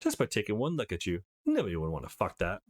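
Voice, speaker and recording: A man's voice: lowish voice, wildly swooping pitch, fast. Visual novel bully: male reader as spiteful young woman, snide, cutting, widely varied intonation. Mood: hostile, scornful.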